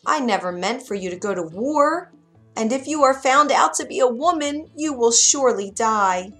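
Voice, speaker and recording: A woman voicing an old, sad, caring father in a storybook reading, speaking gently and sorrowfully. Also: a little high, expressive, moderate pace, faint music behind, voice pushed up. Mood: worried. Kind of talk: storybook character voice.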